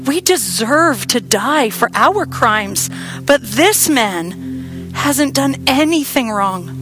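A repentant condemned man pleads earnestly in a slightly raised, slow voice, as a woman reader puts him on. The tone is sincere rather than loud. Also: on the high side, lively intonation, over music, voice pushed up. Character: earnest repentant male criminal.

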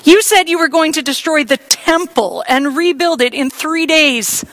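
Woman reader voicing a high, loud mocking mob. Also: a little slow. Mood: taunting. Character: jeering crowd of mocking passers-by.

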